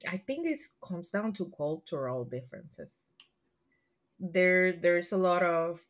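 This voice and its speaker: A woman from Puerto Rico with a Puerto Rican Spanish accent, a guest answering interview questions thoughtfully, with frequent restarts and soft laughs. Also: fairly low pitch. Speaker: podcast guest.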